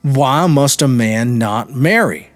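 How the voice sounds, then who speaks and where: A man's voice: medium pitch, expressive, slow pace, dry recording, soft background music, voice pushed up. A man voicing a proud, bitter Italian army major in an audiobook story, speaking formally and emphatically. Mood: angry, bitter. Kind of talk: audiobook character reading.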